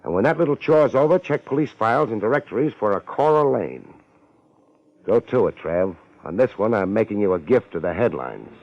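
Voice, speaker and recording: A man's voice: on the low side, lively intonation, brisk. A man playing a cocky, hard-boiled private detective with slangy, sardonic delivery in a 1950s radio drama. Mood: assured, decisive. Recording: dull old radio recording.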